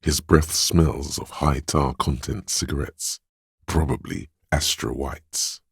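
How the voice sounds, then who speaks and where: A man voicing the wry inner voice of a detective's sense of smell in a game, with a slow, sardonic delivery. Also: fairly even intonation, dry recording, studio quality, heavily acted. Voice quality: deep gravelly husky voice. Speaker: voice actor for game narration. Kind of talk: scripted game voice lines. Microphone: close studio mic.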